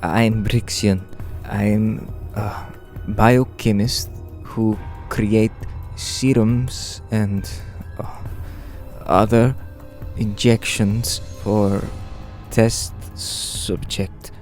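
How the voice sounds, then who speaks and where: A nervous biochemist for an audio drama. His lowish voice falters along extremely slowly, full of hesitation. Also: steady tone, darkish tone, soft background music. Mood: nervous, faltering. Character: nervous, halting male biochemist.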